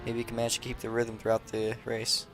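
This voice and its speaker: Flat-voiced male sim racing commentator. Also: mid-range voice, fast talker, soft background music. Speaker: race commentator.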